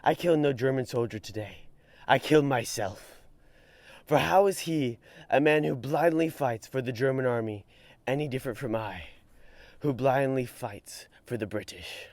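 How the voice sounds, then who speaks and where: A man's voice: mid-range voice, normal speed, dry recording, close to an everyday voice. A young man reading aloud as a grim, weary soldier writing a letter home from the trenches, in a solemn, dramatic voice. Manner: solemn, mournful, sometimes hushed.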